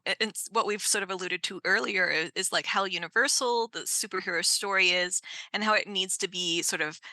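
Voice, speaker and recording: A woman with a slightly low, bright and crisp-edged voice speaks in a dry, close sound. She moderates a casual discussion, picking her way through ideas in a thoughtful, halting manner. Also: dry recording.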